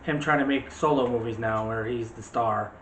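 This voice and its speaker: A man's voice: mid-range voice, normal speed. Chatty guy hosting a movie chat vid, bit far from the mic, echoey room. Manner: chatty, addresses viewers directly. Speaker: video host. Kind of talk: casual movie chat to viewers. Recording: roomy, noisy, off-mic home recording.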